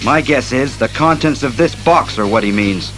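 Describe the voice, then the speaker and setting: A man playing a stern, analytical masked detective, speaking resolutely and deliberately in a superhero audio drama. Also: medium pitch, animated, a bit bright, soft background music, natural voice. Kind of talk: superhero radio drama acting.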